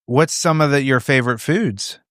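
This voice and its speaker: A man's voice: medium pitch, moderate pace, a bit bright, dry recording, clean studio sound. A man with a Pittsburgh accent hosting a casual podcast interview, joking and teasing his guests and laughing at his own lines. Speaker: podcast host. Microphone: studio podcast mic with headphones.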